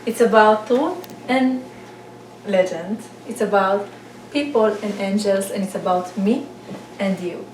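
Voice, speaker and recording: A woman from Israel rehearsing a personal speech, halting, with restarts and non-native grammar slips. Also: medium pitch, a little hiss or hum. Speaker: public speaking student. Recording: roomy, distant mic, background ambience.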